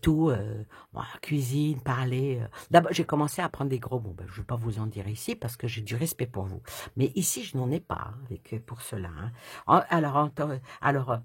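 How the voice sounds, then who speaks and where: A woman's voice: a bass voice, animated, moderate pace, husky, dry recording. A woman chatting casually through a tarot reading, rambling and laughing as she goes. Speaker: tarot reader.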